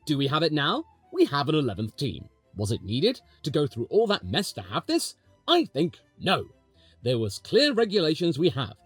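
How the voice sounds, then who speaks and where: A man puts on a self-important male sports official. He is confrontational and pompous, making deliberate, defiant statements with big swings of pitch in a dry, close recording. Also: huge pitch swings, slightly rough, no echo, faint music behind, full character voice.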